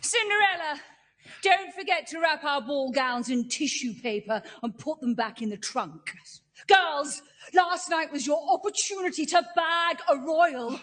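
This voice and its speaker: Shrill, imperious pantomime stepmother. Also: lively intonation, measured pace, fairly bright tone. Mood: haughty. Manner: imperious, cackling, exaggerated. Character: bossy, scheming stepmother in a pantomime. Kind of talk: broad theatrical pantomime acting.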